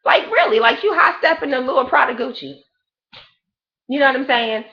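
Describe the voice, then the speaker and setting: A woman's voice: high-pitched, expressive, normal speed, creaky, highs cut off. A woman in her late thirties giving a blunt, profane, rambling gossip review of a reality show in a casual chat. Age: 30s.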